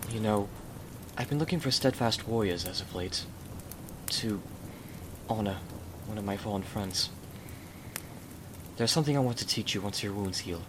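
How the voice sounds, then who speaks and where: Low-voiced, devoted gallant gentleman acted by a man. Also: normal speed, pauses often, background noise, close to an everyday voice. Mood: kindly, earnest. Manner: formal, courtly, tender endearments. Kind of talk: ASMR roleplay acting.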